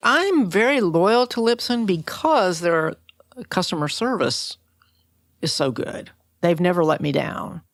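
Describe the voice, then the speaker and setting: Deep, creaky older woman hosting, dry sound. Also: animated, measured pace, no room sound. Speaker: podcast host, interviewer. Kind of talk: casual interview chat.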